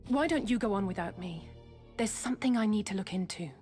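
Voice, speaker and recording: A determined, proud young heroine played by a woman with a medium-pitched voice. She is direct and understated, as in a fantasy game cutscene, with faint music behind her. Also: expressive, fairly quick, a little mellow, lightly acted. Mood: resolute.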